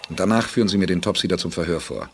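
An actor playing a self-assured male spaceship commander in a 1980s audio drama, brisk and authoritative. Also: low-pitched. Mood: firm, businesslike.

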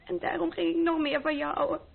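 Lovesick reproachful young woman, super high pitched, stammery and pleading, old radio play, tinny audio. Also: little pitch movement, quick pace, creaky. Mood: tearful, reproachful. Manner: breathless stammering, pleading, melodramatic. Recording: narrow-band old radio recording.

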